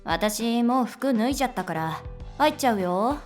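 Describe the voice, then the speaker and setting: Actress as breezy, blunt female teacher, lively mid-pitched voice, teasing and casual, audio drama with faint music behind. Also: moderate pace, natural voice. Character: easygoing, teasing adult woman teacher who loves beer. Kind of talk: Japanese voice drama acting.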